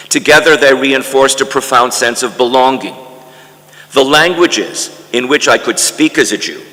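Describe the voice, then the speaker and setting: An older man from Canada reads an autobiographical lecture in a deliberate storytelling manner, with emphatic lists, in a large conference hall. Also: medium pitch, bright, forceful tone. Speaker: guest lecturer reading a memoir. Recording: echoey hall with long reverb.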